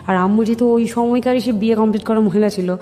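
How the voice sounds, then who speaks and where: A woman's voice: a little high, fairly even intonation, fast, dark tone. A woman with a Bangladeshi accent telling her life story in an interview, speaking earnestly and emphatically and acting out remembered dialogue. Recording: long reverb, music behind. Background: music.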